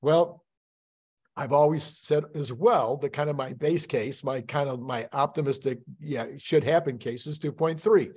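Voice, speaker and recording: A man's voice: a little high, moderate pace, a little mellow, slightly rough. A man hosting a channel, talking casually and emphatically as he walks through spreadsheet projections. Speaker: host of a finance channel.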